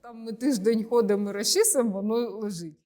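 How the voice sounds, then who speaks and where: A woman's voice: medium pitch, fairly quick, warm tone. A woman in her forties from Ukraine, answering interview questions hesitantly with many filler sounds. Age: 40s. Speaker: interview guest. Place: room in Kyiv.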